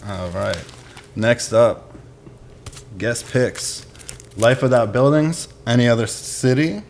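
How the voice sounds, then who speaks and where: A man's voice: mid-range voice, slow, a little mellow, a little hiss or hum. A man presenting his picks in casual, unscripted talk, using short informal phrases. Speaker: musician presenting record picks. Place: indoors in a record shop.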